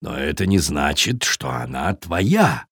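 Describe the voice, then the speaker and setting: A man voicing a good-natured but firm Viking in a children's story, calmly admonishing. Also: rumbling low, takes it slowly, slightly rough, no echo, clean studio sound, pitched below the performer's own voice, heavily acted. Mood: firm, kindly. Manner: calm, admonishing.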